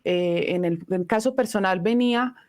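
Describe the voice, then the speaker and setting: A middle-aged woman whose first language is Italian, giving a live online voice lesson in an unhurried, reflective teaching manner. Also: mid-range voice, a little mellow.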